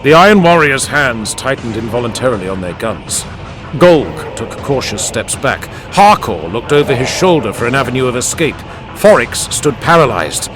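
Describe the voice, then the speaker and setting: A man's voice: mid-range voice, big pitch swings, normal speed. A man reading dramatic scripted narration as an audiobook narrator. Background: music and added sound effects.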